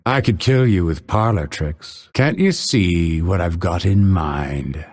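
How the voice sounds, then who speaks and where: A man voicing a cold, proud assassin in a video game, sardonic and impatient, with a gravelly, husky, theatrical and clipped delivery. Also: deep voice, expressive, slow, exaggerated voice. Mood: dry, confident. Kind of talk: video game character voice lines.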